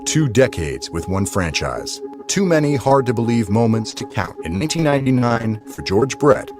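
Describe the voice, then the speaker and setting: A man's voice: medium pitch, big pitch swings, normal speed. A man reading scripted narration for a sports documentary. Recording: narration over music bed.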